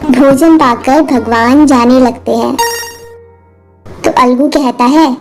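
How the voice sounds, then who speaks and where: A woman's voice: high-pitched, steady tone, slow, darkish tone. A woman narrating a scripted devotional moral story as its storyteller. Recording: music behind the voice.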